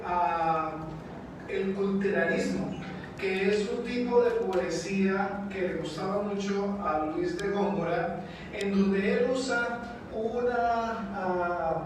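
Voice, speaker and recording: Slow high-voiced teacher in an echoey classroom. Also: male voice. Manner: slow, clear, praising and playful with students. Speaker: language teacher. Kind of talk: classroom teaching in a played video. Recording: roomy classroom, distant mic, noisy.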